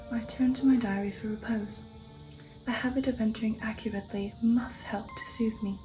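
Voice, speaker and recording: Hushed woman whispering an ASMR story. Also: a little high, poor audio. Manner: hushed, breathy whispering, slow and deliberate. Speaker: audiobook-style reader and ASMR host. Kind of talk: whispered ASMR literary narration. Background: faint background music.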